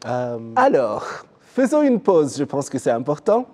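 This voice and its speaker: A man's voice: a little high, very animated, unhurried, a little mellow. Guy hosting a tv talk show, chill and chatty, lots of ums, laughs easy. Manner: easygoing, laughs often, many fillers. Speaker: TV show host. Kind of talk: casual TV interview.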